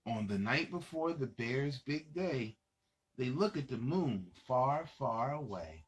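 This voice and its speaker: A man's voice: medium pitch, expressive, takes it slowly. A man reading a children's storybook aloud as host, in a playful, dramatic manner, speaking to young listeners.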